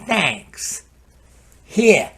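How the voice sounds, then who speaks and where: A man playing a nervous, scheming, hapless fellow in an old-time radio comedy, halting and coaxing. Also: sits high, big pitch swings, sharp tone, a little hiss or hum, exaggerated voice.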